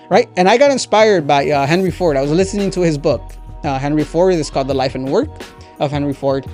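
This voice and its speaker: A man's voice: a little high, darkish tone. A man in his twenties hosting a motivational talk, speaking earnestly and conversationally, often checking in with "right?". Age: 20s. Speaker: podcast host, entrepreneur. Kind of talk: motivational talk, sharing lessons directly to listeners.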